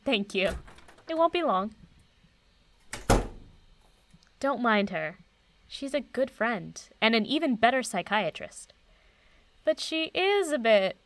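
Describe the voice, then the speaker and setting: A woman playing an eminent older professor and doctor in an audio drama, speaking in a composed, measured and formal way, kind and wry. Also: on the high side, very animated, a bit bright, a little hiss or hum, full character voice. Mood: gentle, confiding.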